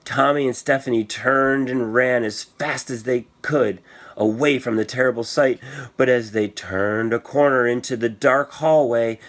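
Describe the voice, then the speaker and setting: A man's voice: medium pitch, steady tone, unhurried, fairly bright tone. A man reading a children's story aloud as scripted narration, with a theatrical, suspenseful delivery. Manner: theatrical, suspenseful delivery with emphatic sound words. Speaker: storybook reader.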